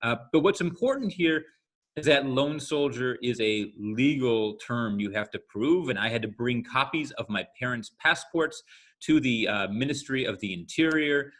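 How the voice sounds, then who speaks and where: A man in his forties from the United States giving a candid talk to an audience, speaking conversationally with frequent pauses. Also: mid-range voice, fairly bright tone. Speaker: guest speaker.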